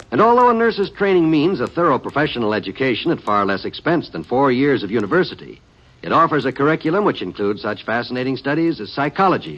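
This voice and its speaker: An American man announces in scripted 1950s radio style. His mid-pitched voice moves in lively swings and has a husky edge. The recording is dull and old, with faint music.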